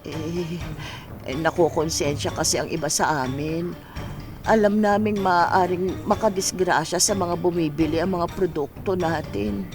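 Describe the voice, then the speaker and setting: A woman's voice: fairly low pitch, fairly even intonation, a little slow, music in the background. A woman playing a timid, conscience-stricken worker in a radio drama, hesitant and deferential. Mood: anxious.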